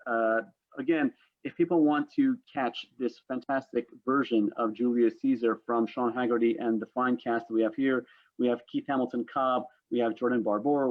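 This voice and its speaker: Male panel host on thin phone-like audio. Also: mid-range voice. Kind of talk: hosting an online panel, semi-formal talk. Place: remote video call.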